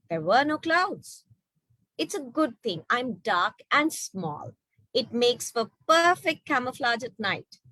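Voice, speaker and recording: A woman telling a story to children in an expressive, questioning way. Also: on the high side, no echo, low-quality recording. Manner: expressive, asks rhetorical questions. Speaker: storyteller at an online children's book reading.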